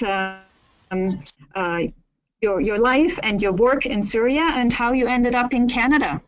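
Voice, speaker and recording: A woman moderating a panel, introducing guests in a measured, somewhat hesitant way. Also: a little high, highs cut off.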